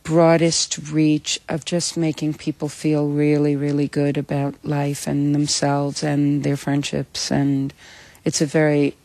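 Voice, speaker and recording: A woman speaking thoughtfully and warmly about a song she loves, then joking about her own singing. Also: deep voice, completely flat delivery, unhurried, no echo. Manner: thoughtful, self-deprecating, breaks into song. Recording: piano playing behind.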